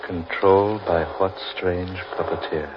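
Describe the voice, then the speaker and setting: A deep, ominous radio horror doomsayer. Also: male voice, steady tone, background noise. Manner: ominous, deliberate, theatrical. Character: grim, fatalistic man lost in haunted forest. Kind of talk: old-time radio horror acting. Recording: dull, lo-fi old radio recording.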